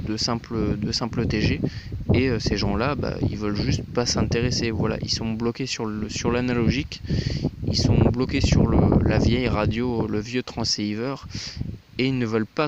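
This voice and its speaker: A man of medium pitch gives an unscripted, critical commentary in a halting way, circling back over his words. The recording is muffled and lo-fi, with very heavy noise and clicks over it. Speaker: amateur radio hobbyist commentating.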